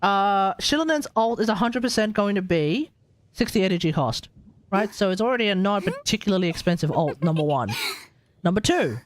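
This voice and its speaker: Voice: mid-range voice, lively intonation, a little fast, dry recording. A game livestreamer chatting casually and thinking aloud in an informal, conversational way. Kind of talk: casual live stream commentary and chat.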